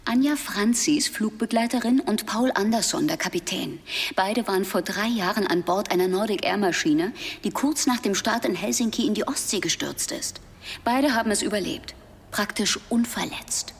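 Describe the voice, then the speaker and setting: A woman's voice: mid-range voice, normal speed, vocal fry, breathy edge, in a large hall. A woman playing an inquisitive, modest investigator in a conversational radio drama scene. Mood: intent, discreet.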